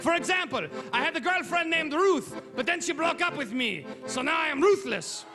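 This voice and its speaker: A man doing a comedic talent show act, shouting eager one-liners and puns. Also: extremely high pitch, expressive, normal speed, music in the background. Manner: eager, shouty, punning delivery. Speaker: comedian doing a contestant bit.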